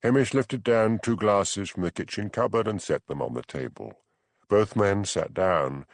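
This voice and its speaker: Creaky, expressive male audiobook narrator. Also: lowish voice, measured pace, no echo. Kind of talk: scripted narration of a mystery novel.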